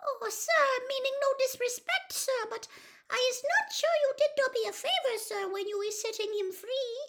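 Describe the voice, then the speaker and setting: A woman voicing a timid, devoted little female house elf servant in an audiobook, with a squeaky, quivering voice and servile, anxious manner. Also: normal speed, fairly bright tone. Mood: apologetic. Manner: servile, scolding, ungrammatical deference.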